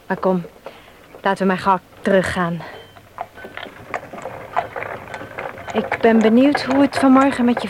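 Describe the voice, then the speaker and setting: An actress in a Dutch radio play playing a kindly, caring nursery school teacher. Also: female voice, on the high side, lightly acted. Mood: casual. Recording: noisy old radio play recording.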